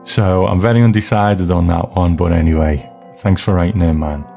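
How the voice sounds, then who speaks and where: A man's voice: deep voice, fairly even intonation, moderate pace, gravelly, warm tone, faint music behind. A man hosting a podcast, talking casually and reflectively in a rambling, self-deprecating way. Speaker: podcast host. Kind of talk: casual reflective podcast talk, reading listener mail.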